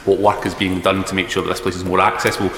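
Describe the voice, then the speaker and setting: A Scottish man, a member of parliament, asking a formal question in a rapid, clipped way. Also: low-pitched, level tone. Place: parliament chamber. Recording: reverberant chamber, loud background noise.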